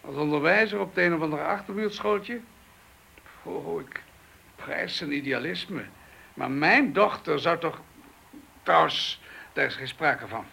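An actor playing an arrogant middle-aged surgeon being questioned by the police, scornful and dismissive, in a radio play. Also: male voice, on the high side, lively intonation, measured pace, creaky, pauses often, sharp tone, slightly rough, heavily acted. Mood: haughty. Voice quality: haughty, scornful.

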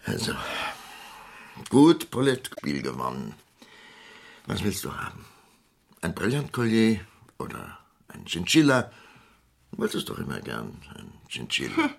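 A man playing a smug, cheating wealthy husband in a German radio crime play, irritable and uneasy, with a gravelly, husky voice. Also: deep voice, huge pitch swings, slow, long pauses, full character voice. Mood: placating.

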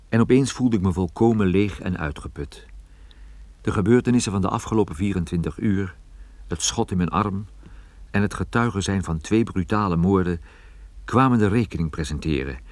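An actor playing a weary, urgent, wry private detective and narrator in a radio play. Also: male voice, low voice, darkish tone. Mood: weary narration. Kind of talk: radio play acting with first-person narration.

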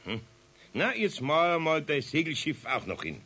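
A man playing a patient, good-natured older craftsman with a Bavarian accent and a husky voice in a children's radio play. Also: fairly high pitch, sing-song, measured pace, sharp tone, exaggerated voice. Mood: indulgent. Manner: homely, indulgent, explaining.

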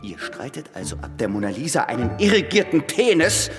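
An actor playing an imperious, sardonic master painter in a radio drama, with a pompous, scornful delivery. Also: male voice, medium pitch, sing-song, a little fast, natural voice. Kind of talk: German radio drama acting. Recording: long hall reverb. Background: faint music.

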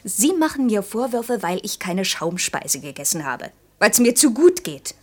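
A woman's voice: mid-range voice, big pitch swings, a little slow. A young actress playing a proud, outspoken young working woman in an intimate German radio drama, earnest and reproachful. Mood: indignant. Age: young adult. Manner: earnest, reproachful, shifting between insistent outbursts and hushed confiding.

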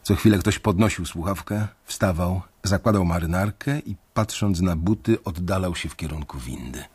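A young man narrating a radio drama in the first person, measured and brooding. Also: sits low, darkish tone, breathy edge. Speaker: narrator and main character.

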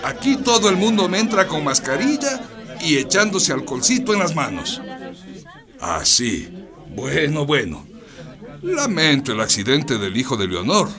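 A man's voice: on the high side, huge pitch swings, measured pace, exaggerated voice. A man playing a fussy, defensive education inspector in a radio drama. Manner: fussy, bureaucratic, hesitant. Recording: music and crowd noise behind.

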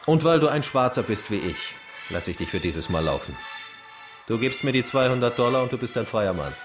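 Man playing commanding, animated lawman over music. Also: medium pitch, a little fast, natural voice. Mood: grudgingly lenient. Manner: commanding, barking orders. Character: stern, bullying fake US marshal, grudgingly bribable. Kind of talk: German radio crime drama acting.

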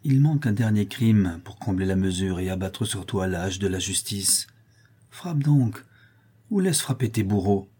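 A man voicing a defiant young male scholar who speaks in a declamatory, accusing way with a husky voice. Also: fairly low pitch, animated, measured pace, mellow.

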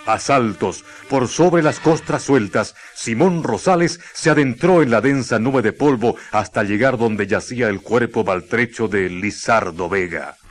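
A man's voice: medium pitch, unhurried, hardly any pauses. A man with a Chilean accent narrating a scripted radio drama in a solemn, literary storytelling manner. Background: music.

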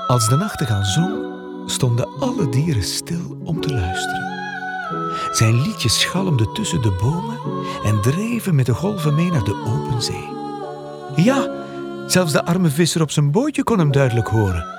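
A man narrating a children's radio play as a storyteller, playful and expressive. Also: a little high, unhurried, loud music behind the voice.

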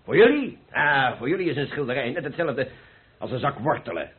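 An actor playing a proud, hot-tempered but good-humoured painter in a vintage radio play, with husky, blustering, earthy talk. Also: male voice, mid-range voice, big pitch swings, a little fast. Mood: indignant, blustering. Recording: muffled narrow-band old radio recording.

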